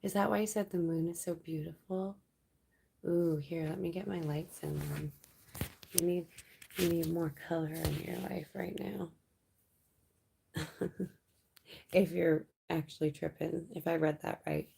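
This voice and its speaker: Young woman from Canada, livestream host, slow unhurried delivery, laid-back and rambling. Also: lowish voice, creaky, breathy edge, poor audio. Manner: relaxed, rambling, laughs often. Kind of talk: casual late-night chat with viewers.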